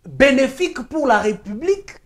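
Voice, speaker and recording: A woman with a Congolese French accent pressing her argument on a talk show. Her voice sits high and swings widely in pitch as she insists point by point, slipping into Lingala phrases. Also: very animated, moderate pace. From Kinshasa, DR Congo. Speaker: journalist guest. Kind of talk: heated talk-show debate.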